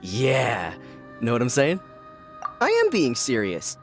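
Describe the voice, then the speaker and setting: An actor playing a lovestruck, rambling soldier in a video game, musing theatrically like a hopeless romantic. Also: male voice, mid-range voice, big pitch swings, slow pace. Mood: insistent, musing. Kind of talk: comic game character dialogue. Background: music.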